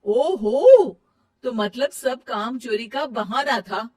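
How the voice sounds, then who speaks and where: A woman's voice: fairly high pitch, lively intonation, slow, darkish tone, breathy edge, distant mic, heavily acted. Woman as an earnest, dutiful young cartoon daughter-in-law. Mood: outraged, scolding. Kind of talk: Hindi cartoon moral-story voice acting.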